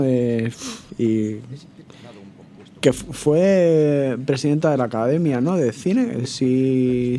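A man with a Spanish accent from Spain hosting a casual live radio discussion, halting and repeating words as he searches. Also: mid-range voice, warm tone. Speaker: radio show host.

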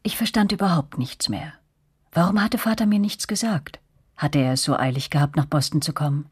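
A woman's voice: deep voice, a little fast, breathy edge. A woman playing a first-person narrator recalling her girlhood in a scripted, reflective radio drama narration. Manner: reflective storytelling.

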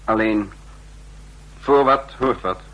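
An actor playing a cold, commanding spymaster who narrates his own story in a deliberate, formal manner in a 1960s radio drama. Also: fairly low pitch, muffled recording. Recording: hissy, humming 1960s radio recording.

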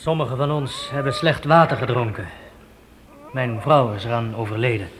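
An actor playing a weary, downcast pioneer settler in a radio play, halting and full of doubt. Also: male voice, mid-range voice, lightly acted. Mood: grieving. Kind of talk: Dutch radio play acting. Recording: noisy old radio play recording.

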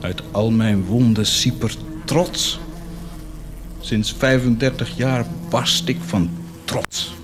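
Man acting a slow, tormented, proud man. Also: medium pitch, lightly acted. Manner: theatrical, swinging from muttering to outcries. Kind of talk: stage-like radio drama acting.

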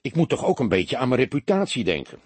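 A man's voice: mid-range voice, big pitch swings, fast, a little mellow, husky, no echo, pitched above the performer's own voice. A man voicing a shy, apologetic small-time crook, hesitant and wheedling, in an audiobook story. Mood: apologetic, pleading. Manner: hesitant, wheedling, colloquial Dutch.

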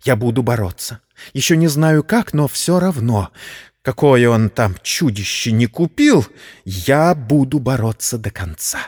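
A man voicing a timid woman who is gathering stubborn resolve, hushed and earnest, in an audiobook story. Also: mid-range voice, expressive, a little slow, slightly rough. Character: timid, trembling spinster sister turning stubbornly defiant. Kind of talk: audiobook character acting. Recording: long reverberant hall sound.

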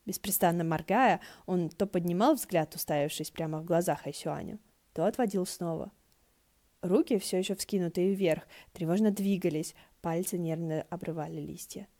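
A woman's voice: on the low side, moderate pace, warm tone. A woman narrating a story as an audiobook reader. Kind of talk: narrating fiction, acting out dialogue.

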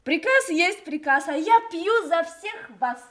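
A high-pitched middle-aged woman, an army officer's wife from a Soviet radio play. She is cheerful and sentimental, with an expressive, wistful way of reminiscing. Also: measured pace, crowd noise. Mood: brave cheer.

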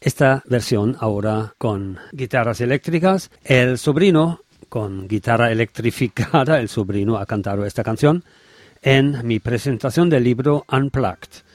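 Man, music presenter, informal introductions between songs, average pitch, dry recording. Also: lively intonation, unhurried, darkish tone, no echo.

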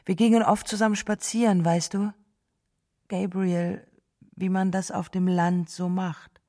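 An actress playing a gentle, grieving wife who remembers a lost love in a halting, subdued way in a radio drama. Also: female voice, lowish voice, level tone, lightly acted. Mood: wistful sorrow. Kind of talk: literary radio drama monologue.

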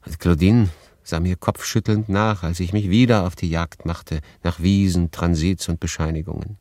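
A man's voice: low-pitched, fairly even intonation, normal speed, darkish tone, no echo, clean studio sound. An actor playing a melancholy, detached male refugee who narrates his story in a pensive, understated radio drama style. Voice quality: husky.

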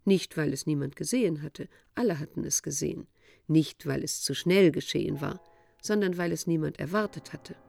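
A woman narrating a literary radio drama in a measured, sober, matter-of-fact storytelling manner. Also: low voice, vocal fry, darkish tone.

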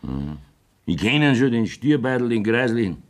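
A man's voice: mid-range voice, sing-song, normal speed, close to an everyday voice. A jovial, evasive Bavarian village mayor played by a male actor blusters in earthy dialect. His mid-range voice swings widely up and down, in folksy radio play style.

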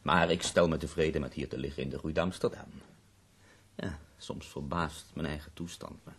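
A man's voice: rumbling low, fairly even intonation, moderate pace, fairly bright tone, breathy edge, exaggerated voice. An actor playing a wry, self-mocking, bedridden man in pain in a Dutch radio drama.

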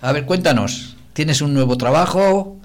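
A man's voice: fairly high pitch, a little slow. A man hosting a local radio show, casual and joking with his guest, speaking in short interjections. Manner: friendly, joking, brief interjections. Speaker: radio host.

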